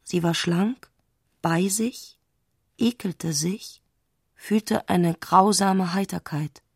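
Eine Frau spricht in einem literarischen Hörspiel die introspektive Erzählerin, die mit bedachten Pausen die Gedanken einer aufgewühlten Frau schildert. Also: female voice, on the low side, vocal fry, slightly rough, dry recording, studio quality, heavily acted. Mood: intense, dreamy. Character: intimate, introspective female narrator of a troubled woman's thoughts. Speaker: narrator in a literary radio play. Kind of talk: literary radio drama narration.

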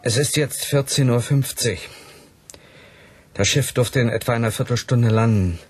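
An actor playing a practical, coaxing man at a research station, gravelly and familiar, in a science fiction radio play. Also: medium pitch, normal speed, lightly acted. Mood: urging. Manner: urging, familiar.

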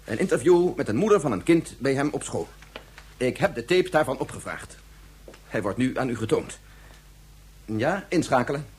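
An actor playing an earnest scientist in a science fiction radio drama, arguing in a formal, deliberate way. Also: male voice, mid-range voice, animated, darkish tone, a little hiss or hum, close to an everyday voice. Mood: matter-of-fact. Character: earnest male scientist and father, insistent, methodical.

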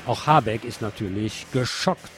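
A man's voice: lowish voice, normal speed, over loud music. A man reading scripted news narration and commentary as a presenter.

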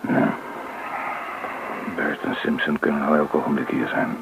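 A man playing a calm, calculating gang leader in a Dutch radio play, measured and commanding. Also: a bass voice, creaky, exaggerated voice. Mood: stern, businesslike. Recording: dull, noisy old radio play recording.

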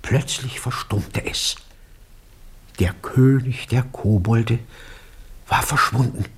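An actor playing an eccentric, awed eyewitness in a German radio drama, telling of a ghostly sighting haltingly and theatrically, with breathy hushed passages. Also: male voice, mid-range voice. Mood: hushed awe.

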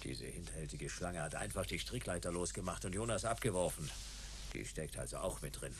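Wry sci-fi noir detective man, laconic, deep gravelly voice, radio play. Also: brisk, background noise. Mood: grim, dry. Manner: laconic, deadpan. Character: wry hard-boiled male sci-fi private detective narrating his case. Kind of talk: radio drama narration and dialogue, noir detective.

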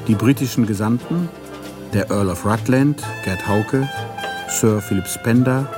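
A man's voice: on the low side, a little slow, music in the background. A man announcing a radio play and its cast in a formal, scripted style.

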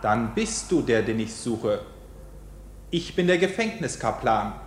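A man's voice: mid-range voice, big pitch swings, a little slow. A man in a German radio play acting a stern, commanding prison chaplain who declaims solemnly. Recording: echoey, cathedral-like space, faint noise.

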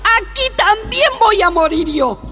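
An actress playing a grieving young mother held prisoner, speaking slowly and quietly. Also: extremely high pitch, animated, faint music behind, heavily acted. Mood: defiant. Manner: slow, quiet, defiant.

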